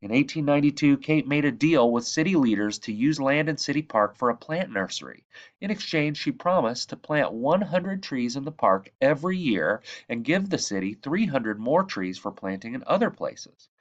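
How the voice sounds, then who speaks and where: A man reading a children's book aloud as a narrator, with measured, expressive phrasing and emphasis on key words. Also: mid-range voice, dull audio. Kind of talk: read-aloud storybook narration.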